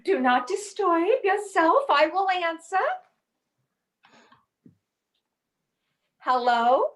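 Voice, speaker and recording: A woman's voice: extremely high pitch, expressive, unhurried, very noisy. An actress playing a brassy, naive woman mixed up in rackets, in a detective radio play. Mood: blunt.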